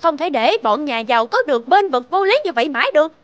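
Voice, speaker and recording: Fast, high-voiced outspoken village woman. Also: expressive, no echo, muffled recording. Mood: indignant. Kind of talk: children's fairy-tale cartoon dubbing.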